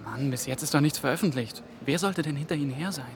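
A man's voice: on the high side, quick pace, background noise, close to an everyday voice. An actor playing a young male EU trainee, tense and worried, musing to himself and talking politely on the phone. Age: young adult. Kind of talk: German TV drama acting.

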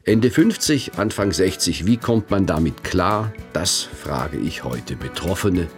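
A man's voice: sits low, lively intonation, slow, soft background music. A man in his fifties hosting a radio feature, narrating in a reflective, wry first-person way. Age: 50s.

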